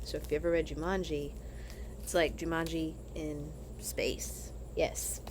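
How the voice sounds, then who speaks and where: A woman reading a children's story aloud in an animated, expressive way, as in a read-along for kids. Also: low-pitched, a little slow, noisy background. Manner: animated, acts out dialogue.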